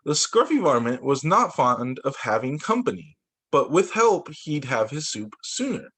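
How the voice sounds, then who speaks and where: A man's voice: medium pitch, very animated, unhurried, a bit bright, slightly rough. A man reading a children's story aloud as a teacher, expressively, with exclamations and little asides of his own. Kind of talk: animated picture-book read-aloud with asides.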